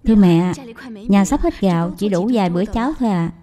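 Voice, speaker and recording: A woman voicing a gentle, devoted young wife in a cartoon dub, speaking in a tender, pleading, deferential way. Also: mid-range voice, brisk, natural voice. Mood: gentle concern. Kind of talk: animated film dubbing.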